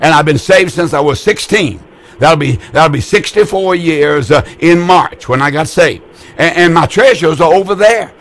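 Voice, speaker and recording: An older man in his eighties preaches to callers on the air. His voice sits a little high for a man, and he talks in a folksy, repetitive way, stumbling over words and restarting often. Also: animated, moderate pace, a bit bright. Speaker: radio preacher taking calls. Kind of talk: call-in religious broadcast.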